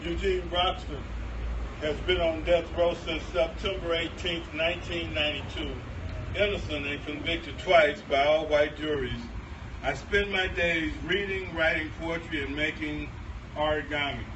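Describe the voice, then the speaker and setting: A man solemnly reading aloud in a measured way, pausing between phrases. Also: a little high, steady tone. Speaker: poetry reader. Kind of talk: solemn poetry and statement reading. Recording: distant dull mic, clear noise.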